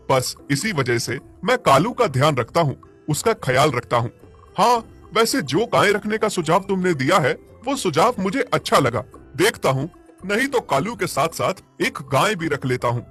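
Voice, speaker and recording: A man voicing a kind, principled village animal owner in an animated moral story, earnest and reassuring. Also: fairly high pitch, normal speed, faint music behind.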